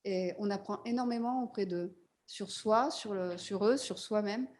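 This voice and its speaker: A woman's voice: medium pitch, normal speed, a little mellow. Hesitant woman speaker, faint hiss. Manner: hesitant, many fillers. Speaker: panel speaker. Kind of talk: panel discussion.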